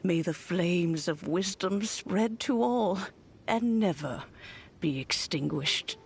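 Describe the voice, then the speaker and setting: A woman voicing a calm, wise storyteller in an anime game, measured and solemn, gently wry. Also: on the low side, gravelly, husky, full character voice. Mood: gentle.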